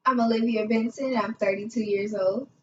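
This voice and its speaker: A woman's voice: a little high, fairly even intonation, fairly quick, a little mellow, slight background noise, natural voice. A young woman playing a polite, formal investigator guest in a scripted amateur interview. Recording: echoey, muffled, distant room mic.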